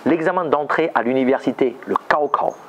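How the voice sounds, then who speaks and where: A man's voice: mid-range voice, brisk, muffled recording, slight background noise. A man from China, a native Chinese speaker, presenting and interviewing in a scripted style. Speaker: host and interviewer.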